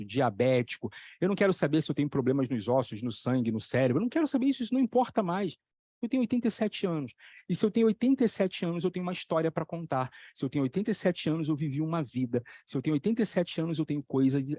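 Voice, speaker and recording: Animated man explaining with examples. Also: on the high side, dry recording. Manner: animated storytelling, acting out voices in the examples; repeats key phrases for emphasis. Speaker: psychoanalyst lecturer. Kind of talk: explanatory talk on a video channel.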